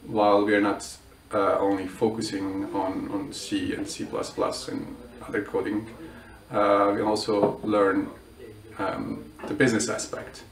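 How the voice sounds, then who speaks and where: A student in his twenties from Bulgaria, answering interview questions with many hesitations and restarts. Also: fairly low pitch. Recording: roomy echo, mic at a distance.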